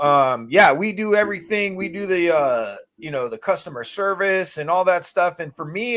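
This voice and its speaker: A man with a voice pitched a little above average chats casually in a group discussion. He sounds earnest and explains himself through anecdotes, like a small business owner sharing experience. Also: fairly bright tone. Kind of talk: casual panel chat.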